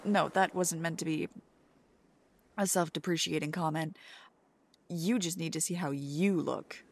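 A young woman doing a soft, playful, teasing ASMR girlfriend roleplay. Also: deep voice, steady tone, unhurried, a little hiss or hum.

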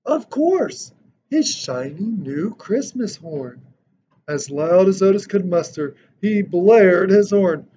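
A man in his thirties reading a children's picture book aloud with expressive, dramatic storytelling. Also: high voice, slow pace. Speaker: storybook reader. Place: indoor decorated room. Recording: dull lo-fi sound with background noise.

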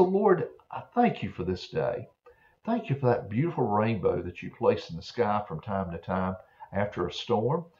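An elderly man in his seventies, a preacher speaking gently and earnestly to children as he shares Bible stories and prayers. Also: sits low. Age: 70s. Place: small home office, indoors. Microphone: computer webcam at desk distance. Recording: dull, muffled webcam-style sound.

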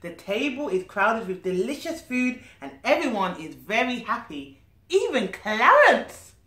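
Female storyteller, thirties, nanny style, very animated and expressive, recorded in a roomy living room. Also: on the high side, a little slow. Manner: expressive, asks the listener questions. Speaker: nanny reading a storybook to children. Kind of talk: animated children's story reading with asides. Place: small living room, indoors.

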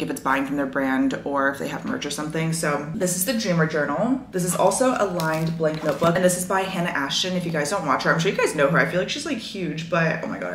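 A young woman in her twenties chatting casually to camera for her lifestyle vlog, with lots of fillers, recorded on a camera mic in her apartment. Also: sits low. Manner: chatty, many fillers, enthusiastic about stationery. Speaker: lifestyle vlogger. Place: apartment living room, indoors. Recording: echoey room with faint noise.

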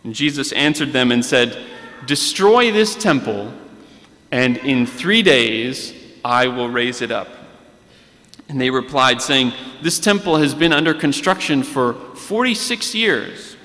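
A man in his thirties preaching a sermon at a pulpit microphone in a large church sanctuary, earnest and deliberate, reading scripture aloud. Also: mid-range voice, lively intonation, pushed and bright. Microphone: pulpit microphone on a stand. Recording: long church reverb.

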